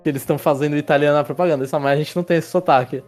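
A man's voice: on the high side, steady tone, very fast, a little mellow, soft background music. A man hosting a casual film review podcast, rambling with restarts and tag questions as he leads the talk. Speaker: podcast host.

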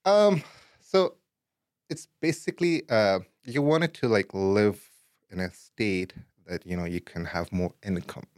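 A young man from Afghanistan, a guest in a casual interview, talking in a relaxed way with many "like, you know" fillers and easy laughs. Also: fairly low pitch, measured pace, dry recording, studio quality.

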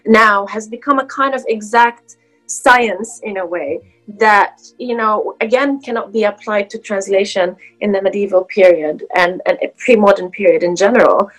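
A woman's voice: medium pitch, normal speed, faint music behind, poor audio. A woman whose first language is Arabic, speaking as a guest scholar in a casual academic conversation, with many fillers and restarts.

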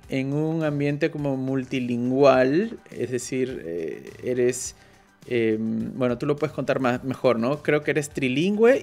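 A man interviewing a guest in a relaxed chat, hesitant and full of fillers and restarts. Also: medium pitch, creaky. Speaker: interviewer and show moderator.

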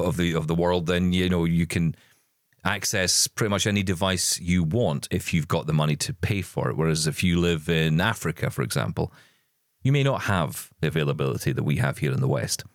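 A man's voice: low voice, no echo, studio quality. A man co-hosting a podcast, chatting in an upbeat, rambling way. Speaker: podcast co-host. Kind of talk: casual podcast chat.

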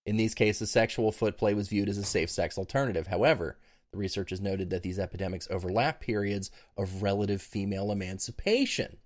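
A man's voice: fairly low pitch, a little fast, darkish tone. A man co-hosting a podcast, reading research out in a dry mock-academic way with laughing asides. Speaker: podcast co-host. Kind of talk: casual podcast chat, reading research aloud.

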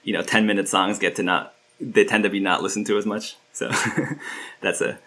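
A man from Turkey talking as a guest musician, with a lowish voice that has a bright, forceful edge. He rambles casually through the conversation, full of fillers and restarted phrases. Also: steady tone, normal speed. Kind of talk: casual interview chat.

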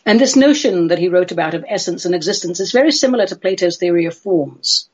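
Husky London woman, playful radio host. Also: lowish voice, expressive, quick pace, a little mellow, lo-fi sound. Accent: London English. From London, England. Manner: playful, theatrical, droll. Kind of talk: chatty solo talk show monologue.

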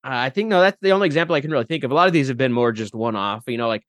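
A man's voice: medium pitch, animated, very fast, fairly bright tone, no echo. A man talking casually and informally as a podcast guest, thinking aloud as he goes. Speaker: podcast guest, role-playing gamer. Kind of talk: casual chat.

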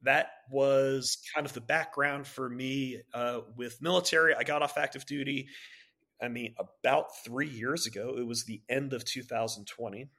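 A man in his thirties from Maryland and Virginia, a Navy pilot, telling his background as an interview guest in a relaxed way with many fillers and restarts. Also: medium pitch, moderate pace. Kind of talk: casual interview talk.

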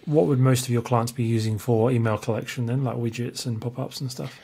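A man's voice: medium pitch, steady tone, brisk, creaky, dark tone, slightly rough. A man hosting a podcast, asking questions in a conversational interview style. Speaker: podcast host.

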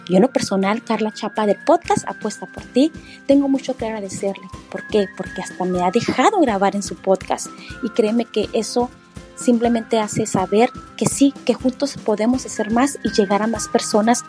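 A woman podcaster sends an eager, upbeat voice message. Her voice is fairly high but mellow in tone. Soft music plays behind her. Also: moderate pace. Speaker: podcaster and guest listener. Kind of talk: recorded voice message.

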